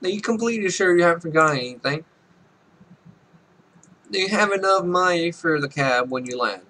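A man's voice: fairly high pitch, lively intonation, brisk, sharp tone, rough recording. A man voicing a fretful, nagging mother in a visual novel, with exaggerated questioning. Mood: anxious, nagging. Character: fretful nagging mom seeing child off.

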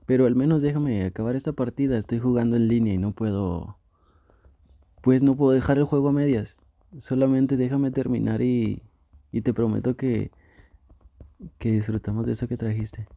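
A man with a Mexican accent playing a flustered, easily tempted gamer boyfriend in an intimate ASMR roleplay, talking in a halting, coaxing way. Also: mid-range voice, steady tone, gravelly, no echo, phone-quality sound, lightly acted. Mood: flustered, pleading.

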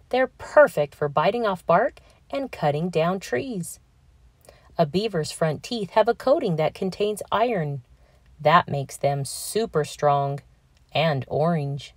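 A woman reading a children's picture book aloud in a deliberate, expressive storytelling manner for young listeners. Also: on the low side, no echo. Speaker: children's read-aloud narrator.

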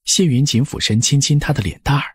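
A man's voice: medium pitch, fast talker, husky, no echo, studio quality. A man narrating a historical novel as a scripted audiobook.

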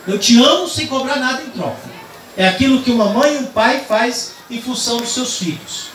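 A man's voice: very high voice, expressive, normal speed, far from the mic, a little hiss or hum. A man with a Brazilian Portuguese accent, a priest giving an earnest homily that builds to emphatic points at an outdoor night Mass under a tent. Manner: earnest storytelling, rising to emphatic. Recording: roomy sound over a loud crowd.

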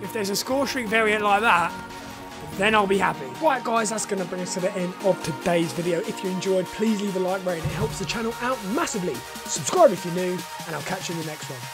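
A young man with a hoarse, partly lost voice, chatting casually over gameplay on a headset and swearing at the game. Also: high voice, quick pace, music in the background. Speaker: gaming YouTuber and rugby coach. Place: home room, indoors.

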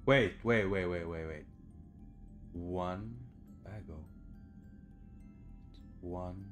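Low-voiced young man muttering through gameplay. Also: very slow, soft background music. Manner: muttering, frustrated, swears freely. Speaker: video game player and commentator. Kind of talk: casual gameplay commentary. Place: indoor room at home.

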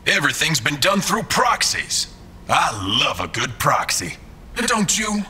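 Smug, swooping, callous boss. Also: male voice, on the high side, sing-song, unhurried, pushed and bright, slightly rough, exaggerated voice. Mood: smug, mocking. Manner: theatrical, condescending, sardonic. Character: smug, callous male villainous boss, mockingly polite. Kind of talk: science-fiction audio drama acting.

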